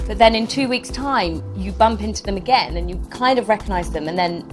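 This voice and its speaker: A woman's voice: mid-range voice, fairly quick. A woman making casual, flirty small talk in a conversational way. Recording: music clearly behind the voice.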